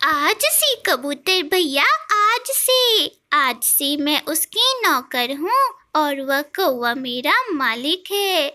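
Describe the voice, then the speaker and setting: A woman's voice: sits high, lively intonation, very slow, pushed and bright, heavily acted. A woman voicing a sweet, obedient female sparrow in a cartoon story, gushing and deferential like a devoted servant. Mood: earnest, devoted. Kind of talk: Hindi cartoon story voice acting.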